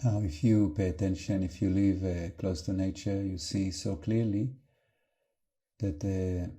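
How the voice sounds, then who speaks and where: A man leading an unscripted guided meditation as a teacher, calm and gentle, with halting phrases and long pauses. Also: deep voice.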